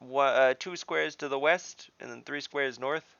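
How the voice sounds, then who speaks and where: Gravelly, bright man gaming online. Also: mid-range voice, unhurried, no echo, lo-fi sound. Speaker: player in a tabletop role-playing game. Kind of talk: casual online gaming chat.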